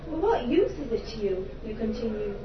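A higher-voiced Irish woman performs spoken word poetry with theatrical passion and dry humorous asides. She sounds distant from the microphone in a roomy venue. The recording is dull and carries steady background noise. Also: fairly quick. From Ireland. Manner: impassioned, theatrical delivery with wry humorous asides. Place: live venue before an audience.

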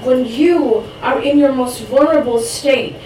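A young Croatian woman, a non-native English speaker, reads her own poem aloud with an earnest, rushing intensity. Her voice sits high. The mic is some distance from her in an echoey room, with a faint hiss underneath. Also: a little mellow. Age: young adult. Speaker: poet at open mic. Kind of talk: spoken word poetry reading.

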